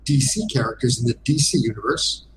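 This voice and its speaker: Halting man guest, noticeable background noise. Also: medium pitch, low-quality recording. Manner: hesitant, halting delivery with frequent pauses. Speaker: interview guest, game designer. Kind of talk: casual interview conversation.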